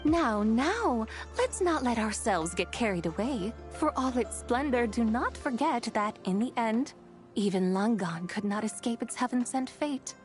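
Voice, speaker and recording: A woman's voice: a little high, expressive, a little slow. A woman playing a haughty, sly, ancient female horse spirit in a fantasy game, with theatrical, archaic, teasing formal speech. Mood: lofty, wistful. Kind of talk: fantasy game cutscene acting. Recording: music behind the voice.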